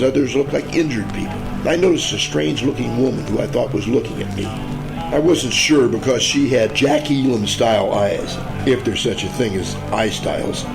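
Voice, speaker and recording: A man playing a world-weary, wisecracking private detective in a deadpan old-time radio noir comedy. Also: mid-range voice, creaky, lightly acted, poor audio. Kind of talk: first-person noir radio narration and comedy acting.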